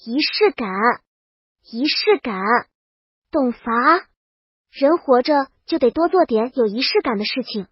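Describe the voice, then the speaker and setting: A woman's voice: high-pitched, animated, measured pace, breathy edge, no echo, highs cut off, full character voice. A woman voicing a vain, bossy young princess with theatrical, indignant delivery in a comic audio story. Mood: smug, bossy.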